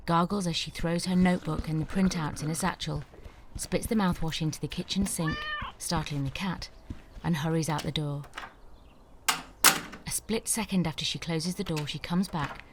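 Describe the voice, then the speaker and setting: A woman narrating the stage directions of a screenplay in a clear, measured way. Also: sits low, background noise.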